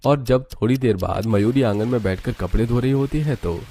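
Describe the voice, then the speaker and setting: A man's voice: mid-range voice, fairly quick, mellow, breathy edge. A man narrating a scripted moral story as its storyteller.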